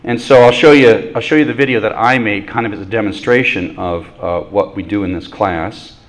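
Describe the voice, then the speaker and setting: A man lecturing a university class in a relaxed, conversational way, with self-deprecating jokes. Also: fairly low pitch, fairly quick. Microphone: microphone adjusted during talk.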